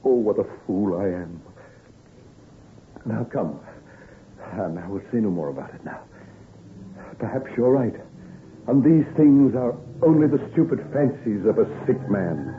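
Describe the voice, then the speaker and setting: An actor playing an anguished, secretive young doctor aged before his time, with grave, halting, melodramatic delivery in an old-time radio drama. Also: on the low side, lively intonation, slight background noise. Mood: pleading, apologetic. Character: anguished, prematurely aged doctor, not yet thirty, secretive, self-reproaching. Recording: muffled, narrow-band old radio recording.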